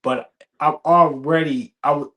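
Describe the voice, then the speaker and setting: A man in his thirties hosting a casual podcast chat, telling stories with lively acted-out quotes. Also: on the high side, measured pace. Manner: animated storytelling, acts out quoted dialogue. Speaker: podcast host.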